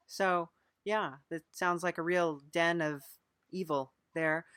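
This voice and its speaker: A woman's voice: lowish voice, fairly even intonation, slow, a bit bright, clear, resonant tone. A woman hosting a podcast, chatting casually and playfully with a mock-earnest manner. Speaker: podcast host. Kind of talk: casual improvised interview and show chat.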